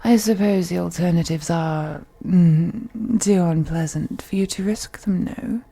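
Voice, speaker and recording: A woman's voice: lowish voice, mellow, exaggerated voice. A woman playing a wealthy, possessive female vampire in an intimate audio roleplay, speaking in a whispery, teasing, condescending way. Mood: amused, teasing. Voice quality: breathy, whispery. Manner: slow, purring, condescending, deliberate.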